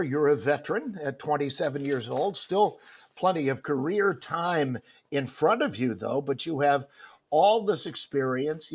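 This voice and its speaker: A man hosting a sports interview, talking in a rambling way with many fillers and restarts. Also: fairly high pitch. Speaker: host.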